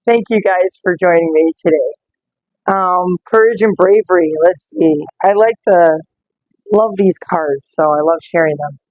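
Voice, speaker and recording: A woman talks slowly and gently with a slightly rough, worn voice, reading oracle cards in a casual live stream. The sound is dry and close, with no room around it, and the audio is dull and lo-fi. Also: lowish voice, dry recording. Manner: slow, gentle, greets viewers by name. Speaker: oracle card reader.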